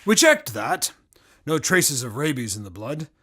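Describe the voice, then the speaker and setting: A man's voice: mid-range voice, sing-song, unhurried, sharp tone, breathy edge, heavily acted. A man playing a matter-of-fact, clinical medical examiner in an audio drama. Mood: intrigued, clinical. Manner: clinical, explanatory. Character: matter-of-fact male medical examiner reporting odd findings.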